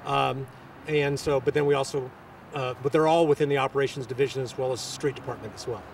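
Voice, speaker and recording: Halting man, recorded at a distance. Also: mid-range voice, steady tone, a little hiss or hum. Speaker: local government official being interviewed. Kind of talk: press interview answers.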